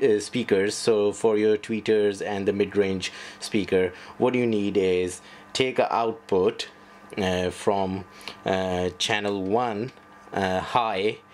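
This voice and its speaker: A man presenting an unscripted step-by-step tutorial in a halting, hesitant way. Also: low-pitched, slight background noise. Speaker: presenter of an audio setup tutorial.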